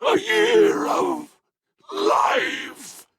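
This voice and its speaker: A man's voice: very high-pitched, wildly swooping pitch, very slow, creaky, fairly bright tone, no room sound, exaggerated voice. An actor playing a frail, dying old man in a video game, bitter and furious, with a strained, gasping, broken delivery. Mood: agonized fury. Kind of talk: scripted video game character dialogue.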